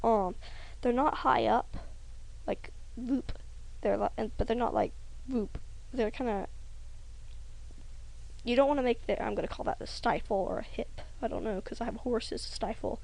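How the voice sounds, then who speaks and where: A young woman rambling hesitantly over a drawing tutorial, often trailing off into soft near-whispers. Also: fairly high pitch, moderate pace, creaky, rough recording. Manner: rambling, hesitant, self-conscious, many ums. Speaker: hobby animator.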